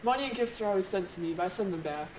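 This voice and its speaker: A woman's voice: mid-range voice, animated, rapid-fire, darkish tone, close to an everyday voice. A woman playing a modest young male aviator hero in an amateur mock news interview. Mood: earnest, modest. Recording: roomy distant mic, faint background noise.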